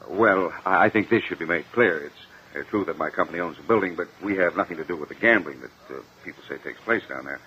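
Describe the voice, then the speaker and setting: An actor playing an uneasy, evasive businessman, halting and defensive, in a 1950 radio courtroom drama. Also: low-pitched, pushed and bright. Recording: muffled, hissy old radio recording.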